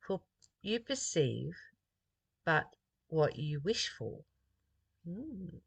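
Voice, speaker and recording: A speaker from Australia reading a spiritual text aloud and adding personal reflections in a deliberate, earnest manner. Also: mid-range voice, very animated, creaky, husky, no echo.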